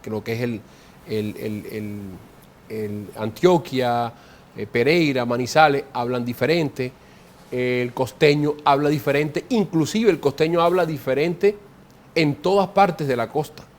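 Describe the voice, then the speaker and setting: A man's voice: medium pitch, a little slow. Colombian Caribbean-accented man explaining at length. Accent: Colombian Caribbean coastal Spanish. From Colombian Caribbean coast. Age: 40s. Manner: explanatory, repeats words while searching for them. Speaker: guest. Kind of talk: conversational interview explanation.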